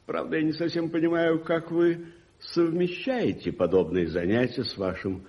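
An actor playing a wealthy, self-assured older gentleman uncle in a radio play, with a theatrical, condescending manner. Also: on the high side, moderate pace, close to an everyday voice. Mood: curious, expansive. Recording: dull muffled 1981 radio recording.

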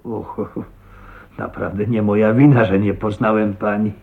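An actor playing a genial, absent-minded older doctor with a gravelly voice in a radio drama. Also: male voice, fairly low pitch, flat delivery, unhurried. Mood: jovial. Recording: thin, phone-like old radio recording.

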